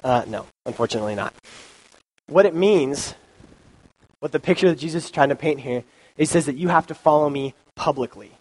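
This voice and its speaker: A man's voice: fairly high pitch, a little fast, long pauses, a little mellow, husky, rough recording. A young man with a cold preaching a casual youth sermon into a microphone, loose and chatty with many ums and likes. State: has a cold, sniffly. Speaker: youth pastor. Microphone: handheld or stand mic.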